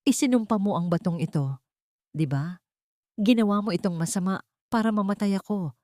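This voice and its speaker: A woman's voice: medium pitch, normal speed, mellow, no echo, close to an everyday voice. A woman narrator plays an ailing, vengeful rival in a romantic audio drama. She is desperate and accusing, spitting hatred in a dry, close recording. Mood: furious accusation. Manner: accusing, hate-filled.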